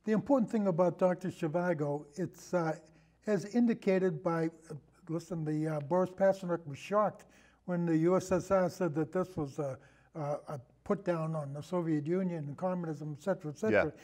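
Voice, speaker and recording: A man in a casual film discussion, telling anecdotes in a halting, rambling way and often repeating his phrases. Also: high-pitched, a little mellow. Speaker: podcast guest, film discussion.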